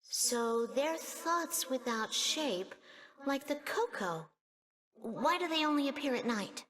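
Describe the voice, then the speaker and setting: A woman's voice: sits high, lively intonation, slow, a bit bright, exaggerated voice. A woman voicing a young, inquisitive and wary sidekick in a video game, questioning and skeptical. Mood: wary, curious. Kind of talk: video game cutscene acting. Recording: reverberant hall, faint noise.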